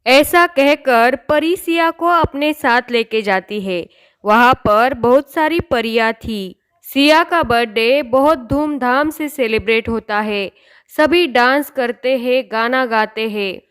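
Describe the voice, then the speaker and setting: High, smooth, slow-paced female storyteller. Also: fairly bright tone. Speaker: storyteller narrator. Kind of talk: scripted fairy tale narration.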